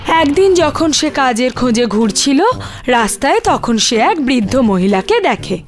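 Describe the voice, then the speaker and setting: A female storyteller narrates a cartoon fairy tale from a script. Her voice is fairly high and animated in its intonation. Gentle music plays faintly behind her. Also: unhurried.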